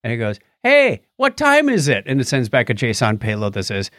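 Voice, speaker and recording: A man chats casually as a podcast host in an animated voice that moves freely up and down. The recording is dry and close, and his delivery is wry and jokey, with a techy bent. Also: mid-range voice, moderate pace, no room sound.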